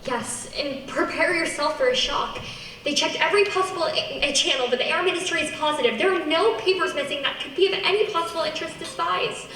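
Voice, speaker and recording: Woman as feisty young woman, argumentative, radio play, bright voice, distant in echoing hall. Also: extremely high pitch, a little fast. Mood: serious. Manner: spirited, argumentative. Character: feisty, stubborn young woman handcuffed to a fugitive. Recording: echoey hall, distant mic, noisy.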